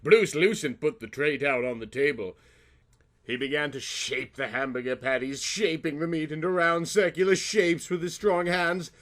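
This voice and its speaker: A man's voice: fairly high pitch, moderate pace, fairly bright tone. A man narrating a comic story in a theatrical, mock-serious audiobook style.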